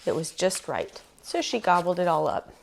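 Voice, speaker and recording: A woman reading a children's storybook aloud as storyteller, expressive and emphatic, lingering on phrases. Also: fairly low pitch, moderate pace, creaky, poor audio.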